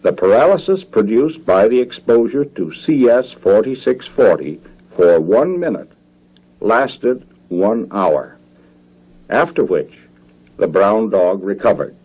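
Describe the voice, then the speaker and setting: An American man reading scripted narration for a 1950s government educational film in a formal, measured, clearly enunciated manner. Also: on the low side, animated, a little mellow, poor audio.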